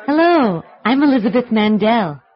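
A woman voicing Liz, a friendly woman in a scripted English textbook dialogue, enunciating clearly and carefully for learners. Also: fairly high pitch, sing-song, normal speed, a faint crowd. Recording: muffled lo-fi tape.

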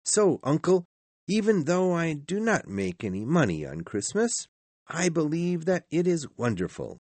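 A man voicing a cheerful, warm-hearted young nephew in a storybook, earnest and exclaiming as he pleads with his uncle. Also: on the high side, very animated, unhurried, dry recording, pitched above the performer's own voice. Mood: earnest, joyful. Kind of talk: storybook character voice.

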